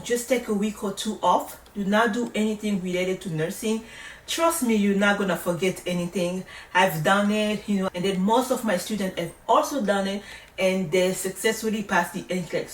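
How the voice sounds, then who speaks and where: A woman's voice: medium pitch, steady tone, normal speed. French-accented woman in an echoey room. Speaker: vlogger, nursing study coach. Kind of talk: casual advice talk to camera. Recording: roomy echo, distant microphone.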